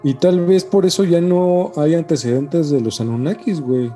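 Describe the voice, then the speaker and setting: Very dark-voiced Mexican man, casual. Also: on the high side, moderate pace, faint music behind. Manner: slangy, often tags on questions. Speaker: podcast co-host. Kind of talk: casual conspiracy podcast chat.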